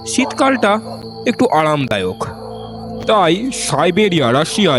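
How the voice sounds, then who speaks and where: Male storyteller for scripted horror audio: slightly high, very expressive pitch, music and night insects behind. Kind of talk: scripted first-person ghost story narration.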